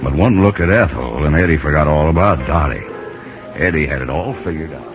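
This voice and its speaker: A man's voice: very deep voice, fairly quick. An actor playing a folksy older baseball scout, telling his young friend's story as narrator of a radio drama. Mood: wry. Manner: folksy, colloquial. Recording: muffled 1950 radio broadcast with music.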